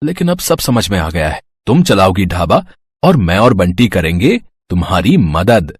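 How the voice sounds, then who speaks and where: A man acts out a kindly village husband and father from a cartoon story. He is humble and encouraging, with a husky voice captured close and dry. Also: low voice, very animated, moderate pace, no echo, heavily acted. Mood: earnest, encouraging.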